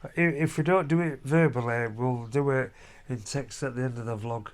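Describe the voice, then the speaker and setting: A man's voice: mid-range voice, moderate pace. A middle-aged man, a father chatting casually in a family vlog from a hotel room, rambling and down-to-earth. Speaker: vlogger, father.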